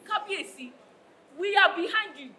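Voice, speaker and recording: A woman's voice: extremely high pitch, animated, moderate pace, bright, forceful tone, full character voice. A woman playing a loyal, eager royal adviser in a stage play, emphatic in delivery. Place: theatre stage. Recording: echoey stage, faint noise.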